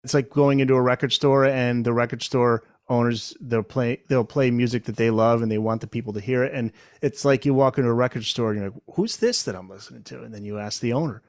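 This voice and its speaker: A man's voice: medium pitch, quick pace, hardly any pauses, dry recording, studio quality. A man hosting a casual podcast interview. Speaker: podcast host.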